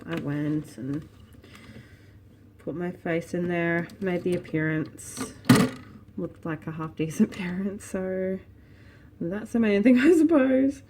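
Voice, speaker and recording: Low-voiced chatty Australian woman. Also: slow, long pauses, mellow, a little hiss or hum. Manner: rambling, chatty, thinks aloud. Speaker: vlogger and small online shop owner. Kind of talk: casual vlog chat. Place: home living room.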